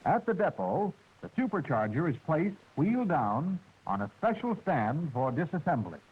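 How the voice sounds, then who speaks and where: A man narrating a 1940s military training film, with a precise, measured and formal delivery, heard through an old film soundtrack. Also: on the high side, very animated, husky, narrow, tinny audio. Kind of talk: scripted instructional narration.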